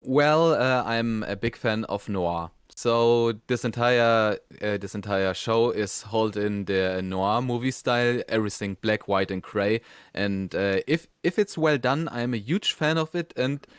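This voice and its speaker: A man co-hosting a casual review, talking in a halting way and repeating words as he forms his thoughts. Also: on the low side, clear, resonant tone, no echo, clean studio sound. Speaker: co-host of an animation review show.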